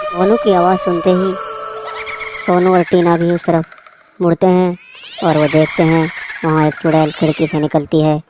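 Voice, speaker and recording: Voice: mid-range voice, little pitch movement, normal speed, long pauses, background noise. A narrator reading a scripted horror story.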